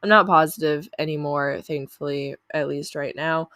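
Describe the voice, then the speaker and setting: A woman's voice: low voice, fairly even intonation, normal speed, a bit bright, no room sound, studio quality. A young woman talking casually through her channel earnings, chatty with lots of fillers, her voice a little off from a lingering cough. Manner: chatty, uses many fillers like "like" and "um". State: lingering cough after illness. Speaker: YouTuber reviewing channel earnings. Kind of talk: casual screen-share walkthrough.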